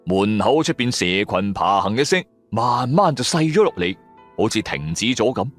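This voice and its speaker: A man tells a dramatic supernatural tale in colloquial Cantonese-accented speech. His pitch swings widely as he narrates, and soft music plays behind him. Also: normal speed, no room sound. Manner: animated, colloquial storytelling. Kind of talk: dramatic first-person supernatural story narration. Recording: music bed under the voice. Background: background music.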